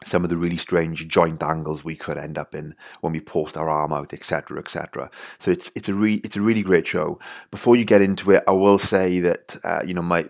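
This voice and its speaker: A man's voice: low-pitched, vocal fry. A man with a Welsh accent hosting a podcast, talking casually with lots of "you know" and restarts. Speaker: podcast host. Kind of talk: casual podcast intro monologue.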